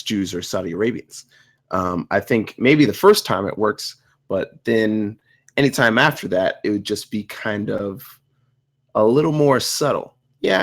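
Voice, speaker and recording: A man's voice: fairly low pitch, creaky. A man giving casual, conversational feedback on a script as a panelist. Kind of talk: casual spoken critique.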